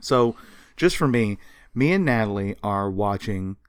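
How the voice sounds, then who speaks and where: A man's voice: fairly low pitch, animated, unhurried, clear, resonant tone, no room sound, clean studio sound. A man co-hosting a podcast in casual chat, talking with a fan's enthusiasm. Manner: enthusiastic self-described history nerd. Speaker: podcast co-host.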